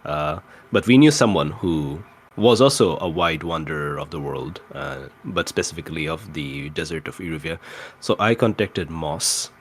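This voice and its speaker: A man casually recapping a tabletop roleplay campaign as one of its players, in a halting way that circles back to rephrase. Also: rumbling low, expressive, slight background noise.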